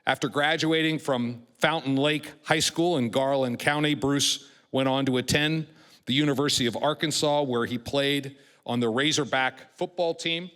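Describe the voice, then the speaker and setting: A man's voice: medium pitch, fairly bright tone. A man hosting an event, giving a formal, partly read introduction of a speaker. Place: large event hall, public address. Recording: echoey hall with long reverb.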